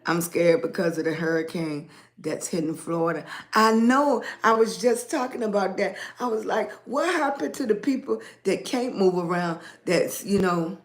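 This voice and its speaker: A woman's voice: mid-range voice, moderate pace. A woman, chatting casually on a livestream and greeting viewers by name. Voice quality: raspy. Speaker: livestream host. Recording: echoey room.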